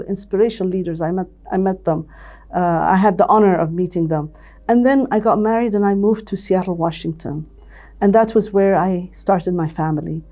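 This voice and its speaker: A woman's voice: mid-range voice, mellow. An older woman from Ethiopia, a company CEO, telling her life story in an interview with many restarts and repeated words. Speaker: CEO and managing director, interview guest.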